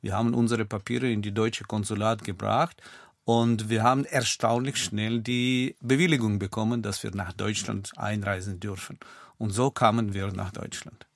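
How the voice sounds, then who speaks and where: A man's voice: on the low side, fairly even intonation, moderate pace, creaky, no echo, studio quality. A man in his sixties from Ukraine, a non-native speaker with a Ukrainian accent, explaining his own past as an interview guest. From Kyiv, Ukraine. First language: not German. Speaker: podcast guest. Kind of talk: personal recollection in an interview. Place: studio.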